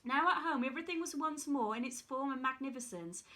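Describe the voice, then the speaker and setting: A woman reading a fairy tale aloud in a careful, steady way with small slips. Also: high voice, a little fast. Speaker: reader.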